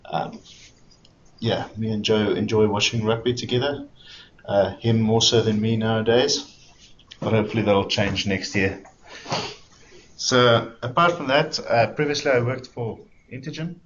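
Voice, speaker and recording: South african guy doing a webinar, lowish voice, muffled audio. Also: measured pace, long pauses. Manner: friendly, informal, explains step by step with fillers. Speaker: developer evangelist presenter. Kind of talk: webinar presentation. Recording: dull lo-fi webinar audio with faint rumble.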